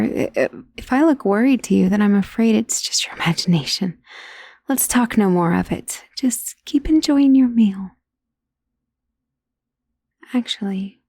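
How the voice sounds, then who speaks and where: A woman performs an ancient female vampire who dotes possessively on the listener in an ASMR roleplay. The voice is husky and breathy with a gravelly creak, often falling to a whisper. The delivery is genteel and gently teasing, recorded very dry and intimate. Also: on the high side, no echo. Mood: doting, guarded, then hungry, coaxing. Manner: slow, tender, teasing, genteel endearments.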